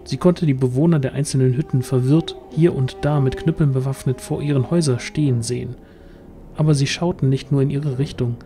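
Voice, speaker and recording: Male narrator for fantasy audio play, warm mellow tone, mid pitch, scripted storytelling. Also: fairly even intonation, a little fast. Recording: music underneath.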